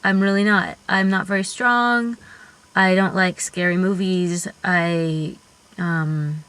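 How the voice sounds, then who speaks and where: Young female-bodied genderqueer vlogger, high voice, slow earnest pace, reflective and thoughtful, home video-diary delivery. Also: fairly bright tone, low-quality recording. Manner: reflective, earnest, thinking aloud with fillers. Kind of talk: personal vlog monologue.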